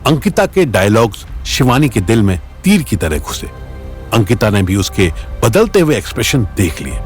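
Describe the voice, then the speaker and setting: Lower-pitched, animated male story narrator. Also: normal speed, soft background music. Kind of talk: dramatic scripted story narration.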